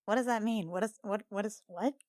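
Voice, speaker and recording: Halting, informal woman gamer, dry close sound. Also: mid-range voice, a little mellow, dry recording. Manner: halting, informal, repeats herself. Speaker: player in a tabletop game. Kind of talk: casual in-character and table chat.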